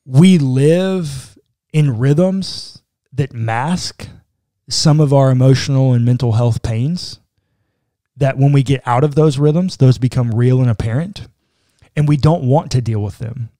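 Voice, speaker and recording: Mellow-voiced man in casual podcast chat. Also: mid-range voice, measured pace, dry recording, clean studio sound. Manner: reflective, talks it through with frequent "like". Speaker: podcast co-host. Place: small room indoors, seated in armchair. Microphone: boom-arm studio mic close in front.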